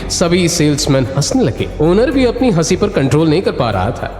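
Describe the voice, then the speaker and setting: Animated male narrator in heavy reverb. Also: a little high, moderate pace. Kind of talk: dramatic scripted narration. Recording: roomy echo with long reverb. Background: music.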